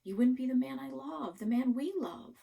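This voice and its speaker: A woman's voice: sits high, lively intonation, normal speed, creaky, warm tone, distant mic, voice pushed up, heavily acted. A woman voicing a caring, earnest mother in a children's story. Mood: tender, consoling. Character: caring mother, firm but tender. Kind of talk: children's novel read-aloud.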